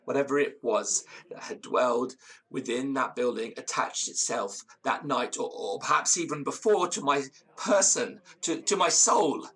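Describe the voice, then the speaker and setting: An actor playing a haunted, frightened man, telling his tale in halting, theatrical, literary phrases for a gothic audio drama. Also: medium pitch, fairly bright tone, breathy edge. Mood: troubled, desperate. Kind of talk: gothic audio drama, first-person storytelling.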